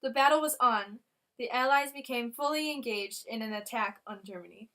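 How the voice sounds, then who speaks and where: A woman's voice: on the high side, moderate pace, pushed and bright. A woman reading a scripted history newsreel narration.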